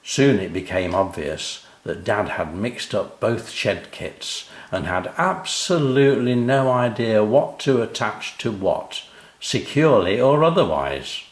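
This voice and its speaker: An older man with a British accent, reading a children's story as an expressive narrator. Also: lowish voice, unhurried, roomy sound.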